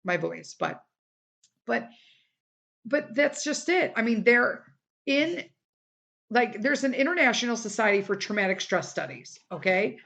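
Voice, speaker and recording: A woman's voice: on the high side, very animated, unhurried, gravelly, long pauses, fairly bright tone. A middle-aged woman hosting a casual solo podcast, talking bluntly and emphatically with some swearing, her voice a little worn from a day of recording. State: voice starting to give out from a day of recording. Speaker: podcast host.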